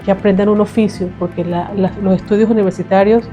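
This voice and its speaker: Earnest mother, mellow voice. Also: medium pitch, fairly even intonation, quick pace, soft background music. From Venezuela. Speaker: migrant mother, psychopedagogue. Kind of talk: documentary testimony.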